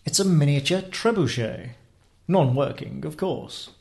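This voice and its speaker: A droll spy played by a man in a radio play, unflappable and wry. His voice is slightly husky and swings widely in pitch, while his wit stays deadpan. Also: unhurried, no room sound, heavily acted. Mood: brisk, thoughtful. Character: droll, unflappable male British spy, dryly witty. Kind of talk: scripted radio theatre acting.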